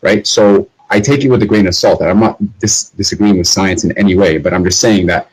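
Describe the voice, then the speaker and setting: A man answering as a debate guest, measured and cautious, hedging his claims and asking for proof. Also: low voice, slightly rough, poor audio.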